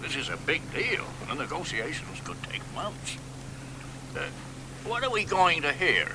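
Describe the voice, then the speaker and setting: An actor playing a boastful, crude old American poet in a radio drama, drawling and dropping into hoarse whispers. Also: male voice, on the high side, wildly swooping pitch, measured pace, very noisy, heavily acted.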